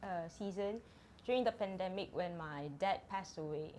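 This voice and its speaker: A woman's voice: on the low side, a little mellow, a little hiss or hum. Earnest Filipino woman sharing a story. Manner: earnest, halting with fillers. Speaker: nurse guest. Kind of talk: casual podcast chat.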